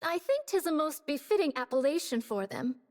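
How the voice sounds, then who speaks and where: A lively, courtly noble knight. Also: female voice, sits high, moderate pace, long reverb tail. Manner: old-fashioned, formal and courtly. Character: archaic-speaking noble woman warrior.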